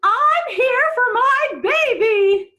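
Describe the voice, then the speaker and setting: Woman putting on a shrill, theatrical fussy lady. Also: lively intonation, extremely slow, exaggerated voice. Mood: urgent, emotional. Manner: loud, theatrical exclaiming. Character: dramatic, fussy woman, doting on her monster pet.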